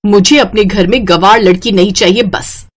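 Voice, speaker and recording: A woman's voice: medium pitch, moderate pace, natural voice. A woman voicing a snobbish, hot-tempered wealthy mother-in-law in a Hindi moral story, haughty and scolding. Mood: scornful. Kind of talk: Hindi moral story narration with voiced characters.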